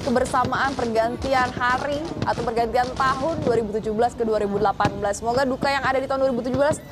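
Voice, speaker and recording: A woman's voice: on the high side, steady tone, fast talker. A woman presenting live television, chatting enthusiastically with a co-host as she comments on celebrations. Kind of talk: live broadcast commentary and co-host chat on New Year celebrations. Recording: loud music behind the voice. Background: loud music.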